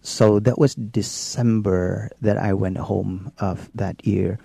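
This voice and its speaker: A Filipino-accented priest with a low, gravelly, mellow voice, telling his story in an unhurried, halting way full of pauses. He is recorded dry and close, with no room sound. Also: fairly even intonation, dry recording. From Philippines. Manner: unhurried, halting, many ums and restarts.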